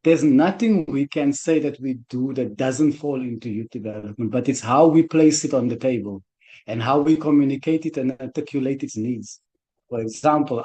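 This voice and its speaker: Mellow-voiced South African man. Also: mid-range voice, highs cut off. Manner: earnest, loose conversational speaking. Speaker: conference organizer. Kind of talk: online conference talk. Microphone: computer mic on a video call.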